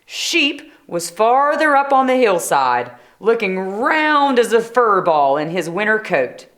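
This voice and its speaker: A woman reading aloud a children's story in an animated style, adding brief chatty asides. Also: mid-range voice, slow. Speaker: storybook reader.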